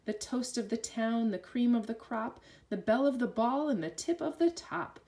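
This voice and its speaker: Expressive woman reading a rhyming picture book. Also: fairly high pitch, moderate pace. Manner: expressive, sing-song rhyming delivery. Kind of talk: rhyming children's read-aloud.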